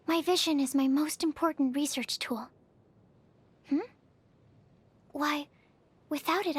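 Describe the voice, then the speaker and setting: A woman voicing a shy, bookish young female alchemist in a video game, speaking in a hesitant, polite and earnest way. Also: high voice. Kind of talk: English video game character voice acting.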